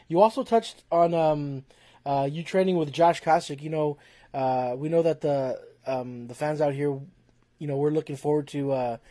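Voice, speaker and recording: A man's voice: on the high side, mellow, no echo. A man hosting a casual interview, asking questions in a hesitant, conversational way. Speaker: interviewer, host.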